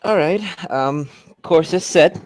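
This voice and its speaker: A man's voice: fairly high pitch, slow pace. A man playing a tabletop role-playing game, bantering casually in and out of character.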